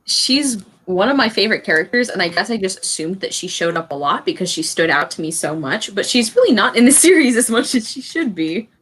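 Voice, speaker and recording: A woman's voice: mid-range voice, sing-song, a little fast, hardly any pauses, a bit bright, husky. A woman in her early twenties chatting casually in a book discussion.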